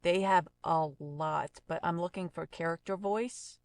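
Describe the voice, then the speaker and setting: A woman's voice: lowish voice, measured pace. A woman presenting an audio tutorial, commenting casually and offhandedly as she tries out settings. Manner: offhand, piecemeal commentary while trying out settings. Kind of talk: casual software demonstration.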